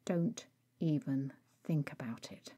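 A woman voicing an exasperated, protective male vampire in an audio story, scolding in a clipped, often hushed way. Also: low-pitched, slow pace, breathy edge, voice pushed down, full character voice. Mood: hushed, urgent.

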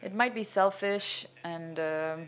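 Older man, halting lecture, fillers, stand mic on a cinema stage. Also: high voice, a bit bright. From Venezuela. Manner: hesitant, many fillers and restarts, gestures broadly. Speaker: cinematographer giving a masterclass. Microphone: stand microphone.

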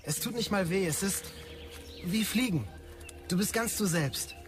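An actor playing a creepy, insistent street peddler with cryptic talk in a sci-fi audio drama. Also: male voice, high voice, expressive, unhurried, over music. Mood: coaxing, eerie.

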